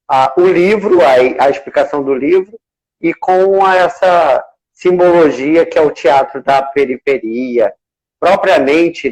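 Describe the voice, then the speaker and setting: A man's voice: fairly high pitch, poor audio. A man hosting a casual live chat, enthusiastic and full of hesitant restarts. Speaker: host.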